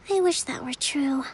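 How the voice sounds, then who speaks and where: A woman playing a composed, gentle young female strategist in an anime-style video game, speaking in a measured, formal, soft-spoken way. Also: high voice, a little hiss or hum. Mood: weary, reflective.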